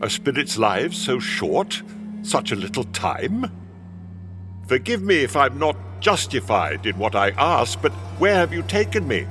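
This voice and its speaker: An actor playing an old miser, wistful and excitable, with theatrical, hesitant delivery in a radio play. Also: male voice, medium pitch, a little slow, over music. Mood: anxious, pleading.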